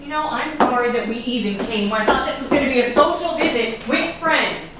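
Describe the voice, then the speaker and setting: A woman's voice: high-pitched, a little fast, natural voice. A woman playing a polite but reproachful dinner guest in a staged reading of a crime drama. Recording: distant, very echoey room, loud background noise.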